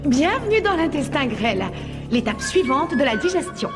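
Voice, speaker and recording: A woman's voice: sits high, sing-song, moderate pace, in a large hall, over music. A woman playing an enthusiastic, adventurous schoolteacher in a children's cartoon, teasing and cheerfully instructive in a theatrical way. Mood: upbeat, instructive. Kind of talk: dubbed children's cartoon acting.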